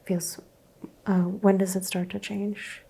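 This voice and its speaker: A woman whispering gently as a doctor in an ASMR roleplay, guiding a patient through a skin exam. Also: medium pitch, little pitch movement, slow pace, vocal fry, slightly rough. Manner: soft whispering, gentle step-by-step instructions. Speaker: ASMR creator playing a doctor.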